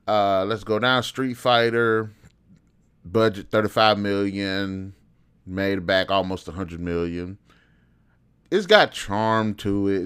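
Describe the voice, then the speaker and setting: A man's voice: deep voice, a bit bright, smooth. A man, around his thirties, giving casual unscripted commentary as a video host, in a rambling, opinionated, conversational way. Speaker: video host reviewing video game films.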